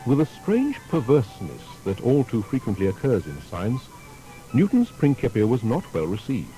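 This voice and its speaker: A man's voice: mid-range voice, moderate pace, soft background music, poor audio. A man narrating a scripted historical biography in a formal, storytelling manner. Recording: steady faint background noise.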